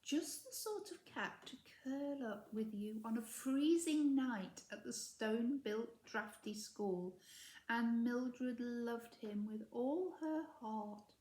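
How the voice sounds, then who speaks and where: A woman reading a children's story aloud with measured, expressive emphasis, recorded in an echoey room. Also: a little high. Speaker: story reader.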